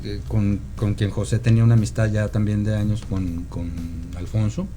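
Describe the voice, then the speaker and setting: A man with a Guadalajara Mexican accent, a musician guest telling stories in casual conversation, halting and meandering, often seeking agreement. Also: lowish voice, steady tone, music in the background. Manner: halting, meandering storyteller who seeks confirmation with "¿no?". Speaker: podcast guest, rock musician.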